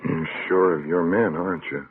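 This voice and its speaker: An actor playing an intense, anxious announcer turned investigator who narrates a vintage radio suspense drama. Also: male voice, deep voice, fairly even intonation, normal speed, narrow, tinny audio, natural voice. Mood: grim narration. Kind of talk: 1940s-50s radio suspense acting.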